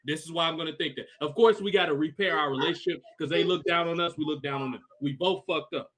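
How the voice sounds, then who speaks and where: A quick, slightly high-voiced man from Chicago holds forth on a casual livestream, blunt and profane, leaning hard on his points. He rattles off his words at a brisk clip. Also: fairly bright tone.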